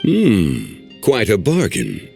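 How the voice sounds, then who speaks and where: An actor voicing a composed, learned man in a video game, speaking formally and with measured care. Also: on the low side, wildly swooping pitch, gravelly, exaggerated voice. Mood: calm, courteous. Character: composed ancient scholarly man, formal and unhurried. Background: faint game music.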